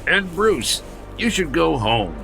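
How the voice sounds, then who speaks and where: A man playing a commanding, faintly condescending investigator in an audio drama, with a creaky voice. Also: fairly high pitch, very animated, takes it slowly, music in the background, full character voice, low-quality recording. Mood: smoothly authoritative.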